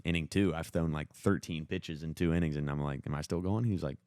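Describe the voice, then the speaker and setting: A man's voice: extremely low pitch, quick pace, a little mellow, dry recording, studio quality. A young American man telling sports stories in a casual, slangy way, often quoting what others said. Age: young adult. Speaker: podcast co-host and former high school baseball player.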